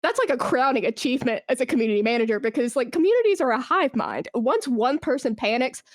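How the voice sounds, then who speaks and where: A woman chatting casually as an interview guest, telling work stories in a candid, slangy, animated way. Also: high-pitched, fast, no echo, studio quality. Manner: candid, animated storytelling with slangy asides and acted-out quotes. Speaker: esports brand manager, podcast guest.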